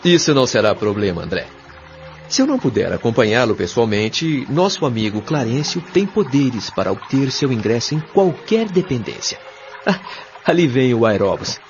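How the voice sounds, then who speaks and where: A Brazilian man playing a kindly, patient spirit guide in an audio drama, explaining things in a measured, didactic, carefully enunciated way. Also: medium pitch, sing-song. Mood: reassuring. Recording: dull lo-fi audio, music behind.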